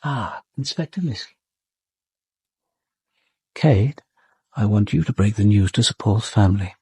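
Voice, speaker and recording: An actor playing a composed, authoritative senior detective in a radio drama, with a British accent and a measured, matter-of-fact delivery. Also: male voice, lowish voice, a little mellow, slightly rough, no room sound. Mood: brisk, authoritative. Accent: British English. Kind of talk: radio drama narration and dialogue.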